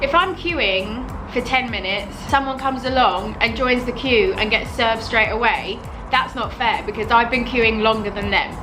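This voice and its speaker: A young British woman in her twenties, talking to camera in a casual, animated vlog and using "like" a lot. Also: a little high, normal speed, hardly any pauses, low-quality recording. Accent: British English. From United Kingdom. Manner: chatty, animated, fills with "like". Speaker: vlogger. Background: background music.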